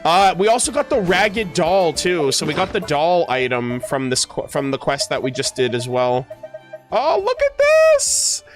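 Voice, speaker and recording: A male streamer with a high voice that swings up and down freely. He talks casually and playfully to his chat in gamer slang, with music playing behind him. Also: wildly swooping pitch, a little slow. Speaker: streamer reacting to a video game. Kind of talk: casual gaming livestream commentary, reading dialogue aloud.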